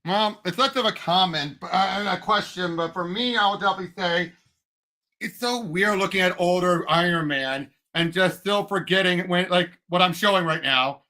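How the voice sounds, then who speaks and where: A man in casual fan chat as a show co-host, excitable and emphatic, often halting mid-thought. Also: sits high. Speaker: co-host of a movie and comics discussion show.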